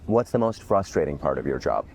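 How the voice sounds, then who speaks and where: A man from Pakistan hosting a casual podcast interview, relaxed and chatty, often acting out quotes in his stories. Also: very deep voice, a little fast, creaky, slight background noise. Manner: relaxed, storytelling with acted-out quotes. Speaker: podcast host.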